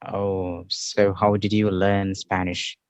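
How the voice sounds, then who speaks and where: A man interviewing a guest, asking questions in slow, searching English. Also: deep voice, flat delivery, clean tone, no echo.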